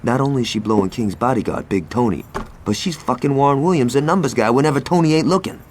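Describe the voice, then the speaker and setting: Man playing a cocky, animated young gangster. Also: medium pitch, quick pace, a little hiss or hum, lightly acted. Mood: gossipy, amused. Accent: American. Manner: swaggering, wry. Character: cocky, foul-mouthed male street gang lieutenant, young, wisecracking. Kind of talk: video game cutscene acting.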